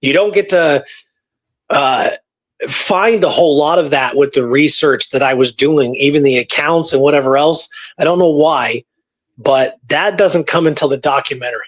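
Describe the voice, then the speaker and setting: Male radio co-host, fairly high voice, conversational history storyteller. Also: normal speed. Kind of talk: casual history discussion.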